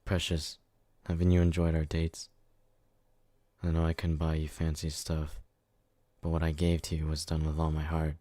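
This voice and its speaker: A man plays a jealous, brutish, devoted lover in an ASMR romance roleplay. His voice is extremely low and dark, recorded close and dry. He murmurs with clingy, obsessive intensity. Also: dry recording. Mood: pleading.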